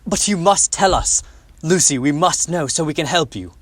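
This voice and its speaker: A man's voice: fairly high pitch, lively intonation, normal speed, fairly bright tone, dry recording, studio quality. A man playing a worried, caring doctor in a radio drama. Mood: pained concern. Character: worried, caring doctor helping hunt a vampire.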